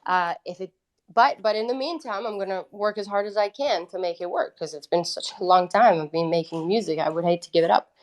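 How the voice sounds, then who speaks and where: A woman's voice: lowish voice, a little fast. Woman chatting casually. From Italy. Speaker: guest, independent musician. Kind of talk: casual conversational storytelling.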